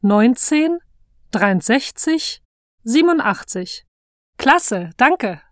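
Brief: young woman, exam dialogue voice, slightly high, slow and careful, dry studio. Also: sing-song, no room sound. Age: 20s. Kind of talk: scripted language-exam dialogue.

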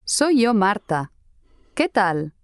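An actress playing a friendly young woman in a slow, scripted dialogue for language learners. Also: mid-range voice, big pitch swings, no room sound, studio quality, heavily acted. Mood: careful. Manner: clear, careful friendly delivery.

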